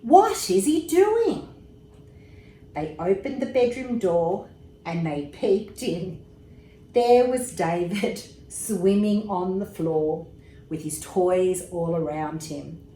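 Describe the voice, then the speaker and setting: A woman reads a children's bedtime story at a slow, unhurried pace. Her delivery is expressive, with small asides about the pictures. The room gives her voice noticeable echo, with faint noise behind it. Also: lowish voice. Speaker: storybook reader, emergency services commissioner. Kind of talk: bedtime story read aloud to young children.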